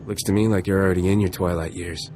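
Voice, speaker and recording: An actor playing a gruff, wisecracking space smuggler captain in a video game, terse and wry. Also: male voice, low voice, fairly even intonation, fast, darkish tone, a little hiss or hum, close to an everyday voice. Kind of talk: video game dialogue acting.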